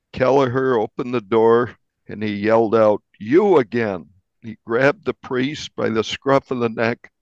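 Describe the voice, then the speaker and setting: A man with an American accent, a reporter telling what sources told him in measured interview storytelling. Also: medium pitch, no room sound. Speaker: newspaper reporter being interviewed. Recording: dull, dry lo-fi recording.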